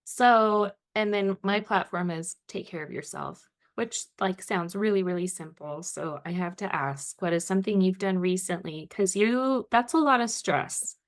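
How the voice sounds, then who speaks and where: A woman's voice: medium pitch, unhurried, few pauses. Gushing woman podcast host. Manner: enthusiastic, gushing reactions. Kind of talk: casual interview conversation.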